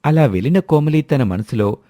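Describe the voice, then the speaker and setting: A man's voice: medium pitch, lively intonation, normal speed, dark tone, no room sound. A man narrating a scripted story as its storyteller.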